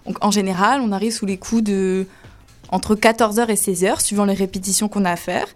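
A woman's voice: mid-range voice, a little fast, faint music behind. Talkative woman describing her dance job. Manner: lively, talkative explainer. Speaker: cabaret dancer guest. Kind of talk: explaining her work in an interview.

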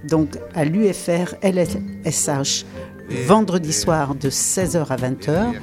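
A woman's voice: sits low, slow, music in the background. A woman giving practical information as a festival organiser in a radio interview.

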